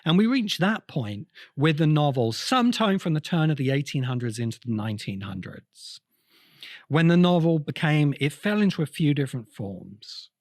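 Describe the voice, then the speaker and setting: A man hosting a podcast, delivering an explanatory cultural history monologue with emphatic, halting phrasing. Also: fairly high pitch, very animated, no echo, clean studio sound. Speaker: podcast host.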